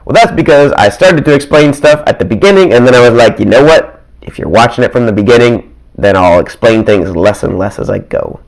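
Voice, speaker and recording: A man teaching math in a casual, worked-example way, with a raspy, dry-throated voice that keeps clearing, thinking aloud with small chuckles and asides from his desk. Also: medium pitch, expressive, a little fast, low-quality recording. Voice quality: raspy, getting rougher.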